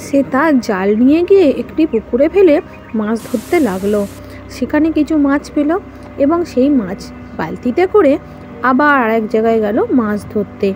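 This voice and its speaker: A woman narrates a folk tale from a script, in storybook style. Her voice is fairly high. Soft music plays behind her. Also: animated, normal speed.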